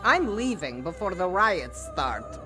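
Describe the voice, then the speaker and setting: A cynical, disgruntled city dweller from a fantasy game. The delivery swoops widely in pitch, with soft music in the background. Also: female voice, big pitch swings, slow pace, full character voice. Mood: fed up. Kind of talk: video game character acting.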